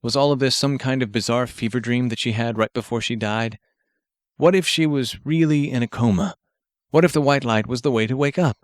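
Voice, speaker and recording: Male fiction narrator for audiobook. Medium pitch, scripted storytelling, dry close recording. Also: a little fast, dry recording.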